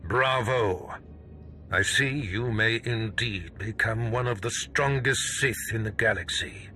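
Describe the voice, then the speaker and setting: An actor playing an imperious, gloating Sith master in a video game cutscene, pompous and grandiose. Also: male voice, on the low side, takes it slowly, sharp tone, soft background music. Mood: triumphant.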